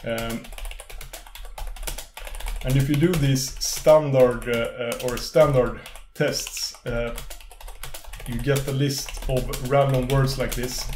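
A young man whose first language is Swedish, casually talking through a screen-recorded software tutorial, rambling with many fillers and restarts. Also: mid-range voice, echoey room, a little hiss or hum. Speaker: tech video host.

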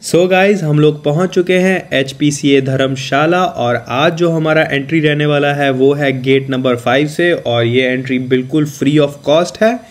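A man's voice: a little high, a little slow, hardly any pauses. A man walking viewers through an outdoor cricket stadium, explaining things casually in a mix of Hindi and English. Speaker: cricket vlogger giving a stadium tour. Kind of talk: walking tour vlog, mixing Hindi and English.

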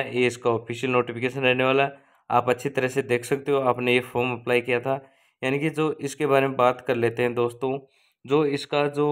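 Clear-voiced man giving an informal update. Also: medium pitch. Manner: repetitive, filler-heavy phrasing. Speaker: video host giving exam result updates. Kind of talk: informal explainer narration for online viewers.

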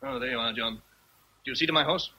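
An actor playing a sardonic, commanding master of a great house, theatrical in a radio drama. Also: male voice, medium pitch, fast talker, pushed and bright. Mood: brusque.

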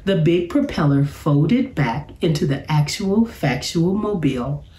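A middle-aged woman reads to children like a teacher at story time. Her voice is fairly low and swings widely in pitch as she enunciates at an unhurried pace. The room adds some echo. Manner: expressive, deliberate enunciation, addressing young listeners. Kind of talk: children's read-aloud storytelling. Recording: roomy, echoey sound.

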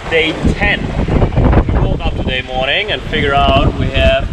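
A man's voice: mid-range voice, moderate pace. A young man recording a casual video diary aboard a sailboat at sea. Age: young adult. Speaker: sailing vlogger. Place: aboard a sailboat, cabin and open deck. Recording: loud boat, wind and water noise.

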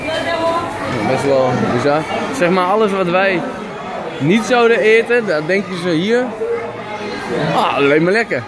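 A man's voice: high-pitched, big pitch swings, measured pace, pauses often. A man the gives casual, off-the-cuff vlog commentary in an informal, marvelling way. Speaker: travel vlogger. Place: large busy indoor supermarket. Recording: very noisy crowded supermarket ambience. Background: loud crowd and store noise.

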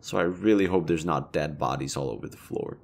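Man acting as low-voiced, awkwardly flirty elven leader. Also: fairly quick, vocal fry, darkish tone, full character voice. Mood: wry. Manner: conversational, hesitant, rambling, casually profane. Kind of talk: intimate roleplay audio drama.

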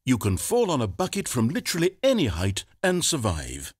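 A man's voice: mid-range voice, huge pitch swings, normal speed, slightly rough, no room sound, studio quality. An actor playing a smug, mock-polite male narrator of a video game, speaking with sardonic theatrical wit. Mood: wry.

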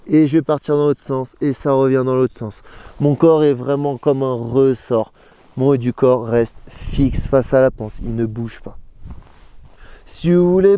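A man in his thirties teaches skiing on a snowy mountain slope, walking through each movement step by step in the first person. His voice is very dark and mellow yet smooth and clear, and sits a little high for a man. The sound is close and dry. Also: no room sound. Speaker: ski instructor. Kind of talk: instructional explanation.

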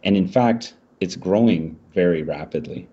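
Low husky man pitching over video call. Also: mellow. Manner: measured, with fillers and restarts. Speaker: startup presenter. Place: indoor room. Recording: muffled video call audio.

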